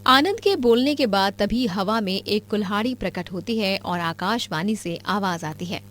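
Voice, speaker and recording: A woman's voice: mid-range voice, expressive, moderate pace, a bit bright. A woman storyteller reading scripted narration for a cartoon moral tale.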